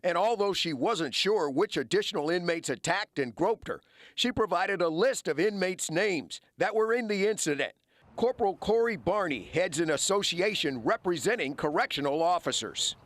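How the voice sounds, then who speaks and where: Higher-pitched American male news reporter. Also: lively intonation, normal speed, fairly bright tone, dry recording. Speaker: television news investigative reporter.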